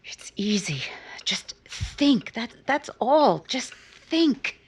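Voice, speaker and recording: An actress playing a guarded, bitterly proud lonely woman in a romantic 1950s-style radio drama, with measured, pointed, probing delivery. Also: fairly high pitch, very animated, breathy edge, full character voice. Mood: probing, sardonic.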